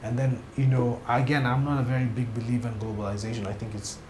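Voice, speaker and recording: A man's voice: medium pitch, little pitch movement, quick pace, a little mellow. A man from Kenya speaks bluntly and emphatically in a sit-down interview. His voice carries a roomy echo with a faint hiss of background noise behind it. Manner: frank, emphatic, blunt opinions. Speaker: TV series director.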